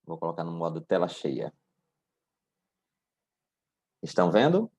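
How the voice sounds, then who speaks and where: A man with a deep, low voice and a Brazilian accent delivers an academic seminar talk. His first language is Portuguese, and he moves carefully, with frequent pauses and fillers. He is heard through a video call. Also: wildly swooping pitch, a little mellow, husky. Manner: slow, hesitant, frequent drawn-out pauses. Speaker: guest lecturer.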